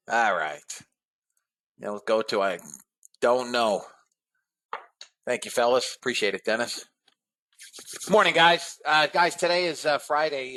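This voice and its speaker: A man hosting a live trading webinar, talking casually to his viewers and calling them guys and fellas, a bit hoarse. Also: mid-range voice, pushed and bright. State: slightly hoarse voice. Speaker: trading room host.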